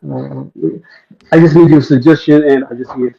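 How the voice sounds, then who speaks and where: A man from Ethiopia, a native Amharic speaker, calls in to a live online debate show. He talks at a middle pitch and stumbles through restarts and fillers. The line sounds dull and lo-fi. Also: steady tone, moderate pace, gravelly, husky. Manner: hesitant, many fillers and restarts. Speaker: caller. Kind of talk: casual live call-in chat.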